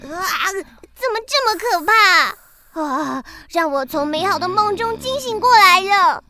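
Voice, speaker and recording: A tiny, bright-voiced candy-tree fairy in a children's radio play. She is bossy and grumpy, swooping dramatically from huffs to scolding. The voice is extremely high and sharp. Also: lively intonation, unhurried, a little hiss or hum, full character voice. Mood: startled.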